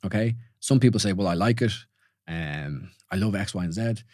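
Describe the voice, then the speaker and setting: A man's voice: sits low, moderate pace, darkish tone, no room sound, clean studio sound. An Irish man in his forties hosting a solo podcast, talking things through informally with listeners and often asking "you know?". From Ireland. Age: 40s. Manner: informal, questioning, many restarts and "you know". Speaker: podcast host. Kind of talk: reflective solo podcast talk.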